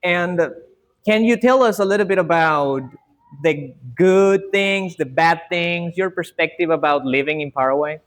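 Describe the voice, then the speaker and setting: A woman's voice: fairly low pitch, moderate pace. A woman interviewing a guest, asking hesitant questions with many fillers.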